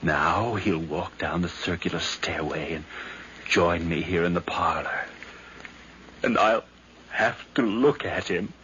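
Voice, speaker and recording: Noisy old radio drama: obsessed nephew, deep, halting, hushed, unraveling. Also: male voice. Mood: obsessed, haunted. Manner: halting, brooding, often breaking into hushed whispers. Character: nervous, obsessed nephew narrating his descent into madness. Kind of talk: 1950s radio drama acting. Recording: noisy, muffled 1951 radio recording.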